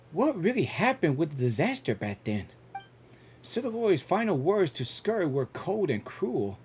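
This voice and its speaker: A woman giving casual live reaction commentary as a gaming streamer, halting and slangy, often repeating herself. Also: low-pitched, darkish tone, a little hiss or hum.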